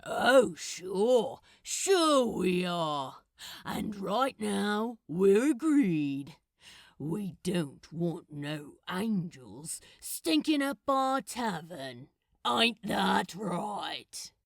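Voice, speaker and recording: A woman's voice: mid-range voice, sing-song, vocal fry, no room sound, clean studio sound, full character voice. A woman voicing a male demon bully in a storybook reading, drawling slangy threats with a sneer. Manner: slow, drawn-out, threatening, slangy.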